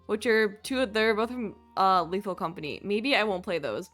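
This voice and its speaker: A woman's voice: mid-range voice, moderate pace, a bit bright, faint music behind. A woman livestreaming a game with casual, giggly chat and an easily flustered manner.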